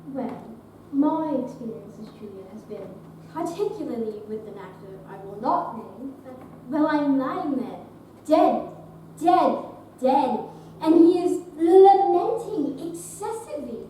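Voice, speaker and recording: A woman's voice: medium pitch, expressive, normal speed, dark tone, close to an everyday voice. A young woman acting on stage as a gracious, theatrical Victorian society actress, with a poised and mannered delivery. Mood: mock-exasperated. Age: young adult. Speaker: stage actor in a teen theatre program. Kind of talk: live stage drama acting. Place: theatre stage, recorded from afar. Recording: distant, echoey stage recording with noise.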